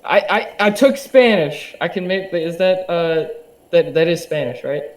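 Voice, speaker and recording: Eager young man, slightly high voice. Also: unhurried. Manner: hesitant, many fillers and restarts, eager. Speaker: podcast guest. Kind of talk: casual interview chat.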